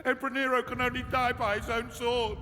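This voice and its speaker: An actor playing a vain, cowardly Roman emperor with a whiny, pleading manner in a video game cutscene. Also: male voice, extremely high pitch, level tone, fairly quick, pushed and bright, long reverb tail, faint music behind.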